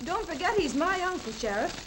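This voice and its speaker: An actress playing a plucky, determined young niece in 1930s radio drama style, earnest and insistent, on an old soundtrack. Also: female voice, very high-pitched, sing-song, fairly quick, fairly bright tone, full character voice. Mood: worried, insistent. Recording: faintly noisy 1930s soundtrack.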